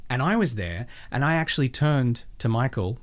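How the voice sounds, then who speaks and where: A man chatting casually as a podcast guest and voice actor, animated and playful, often acting out his own asides. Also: medium pitch, moderate pace. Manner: animated, self-quoting, playfully profane. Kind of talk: casual interview chat with acted-out asides.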